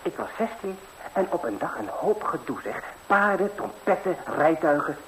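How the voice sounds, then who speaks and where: An actor playing a naive, chatty young lad, a fairy-tale hero who rambles hesitantly and artlessly in a 1960s radio drama. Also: male voice, fairly high pitch, sing-song, moderate pace. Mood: chatty storytelling. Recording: faintly noisy 1960s radio recording.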